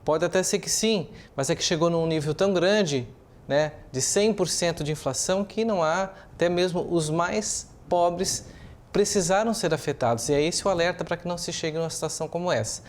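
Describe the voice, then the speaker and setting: A man's voice: a little high, fairly quick. A man with a Brazilian accent giving opinionated, explanatory news commentary as a journalist, speaking semi-improvised and posing rhetorical questions.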